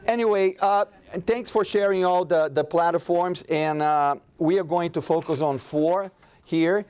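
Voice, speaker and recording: A man's voice: high voice, a little slow, darkish tone, clean tone. A man speaking at an academic conference panel, conversational and full of restarts. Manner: conversational, many restarts and fillers. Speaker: conference presenter.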